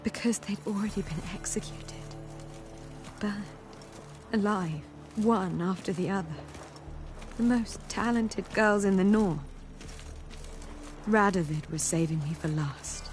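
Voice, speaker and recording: An actress playing a dignified sorceress and mentor in a video game, speaking in a formal, measured, solemn way. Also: female voice, medium pitch, close to an everyday voice. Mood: bitter, grieving. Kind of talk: video game dialogue acting. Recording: music playing behind the voice.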